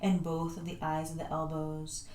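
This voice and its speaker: A woman leading a yoga class, giving calm, soothing step-by-step cues. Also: sits low, normal speed, slight background noise. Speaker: yoga instructor. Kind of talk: guided yoga class instruction. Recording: roomy echo, voice from a distance.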